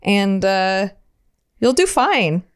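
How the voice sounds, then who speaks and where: A woman with a smooth, clear voice at a middle pitch. She talks casually, as a podcast co-host handing out advice in conversation. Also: sing-song, drawn-out words.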